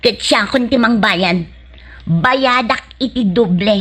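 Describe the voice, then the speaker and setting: Casting: actress as haughty teasing girlfriend, high voice, slow delivery, Ilocano radio comedy with music underneath. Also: a bit bright, husky, full character voice.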